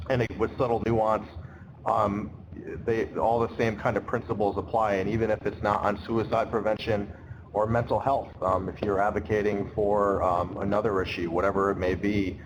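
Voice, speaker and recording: A man's voice: lowish voice, level tone, moderate pace, background noise. A man recovering from an illness and starting to lose his voice, answering questions in a webinar in a loose, um-filled way. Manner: many ums, loose and conversational. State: getting over an illness, slightly losing his voice. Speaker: advocacy program staff.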